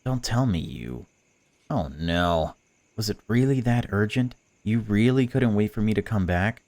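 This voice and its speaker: A man's voice: fairly low pitch, expressive, a little slow, darkish tone. A man voicing a female stalker in a visual novel, a chatty, patronising captor who pretends to care. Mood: mocking. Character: cold, mocking female stalker, falsely caring captor. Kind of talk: visual novel dialogue read aloud.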